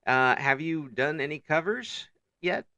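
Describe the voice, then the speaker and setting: Male interview host, bright tense tone, chuckly and stumbling, dry close sound. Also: fairly high pitch, animated, a little slow, no room sound. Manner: chuckles often, stumbles and repeats words. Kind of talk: casual interview chat.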